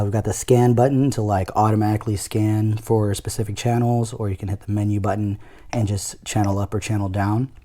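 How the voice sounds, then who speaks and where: Casual man with a mellow, dark voice. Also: on the low side, slight background noise. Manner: informal, step-by-step explaining. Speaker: product reviewer. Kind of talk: casual unboxing demo.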